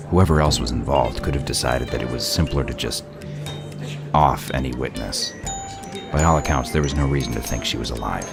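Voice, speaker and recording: A gruff detective played by a man in an audio drama. The voice is extremely low and creaky, with a dry, sardonic edge. Music plays clearly underneath. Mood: explaining. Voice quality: gravelly. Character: gruff, sardonic male detective laying out a case. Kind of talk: audio play acting, detective explaining a case.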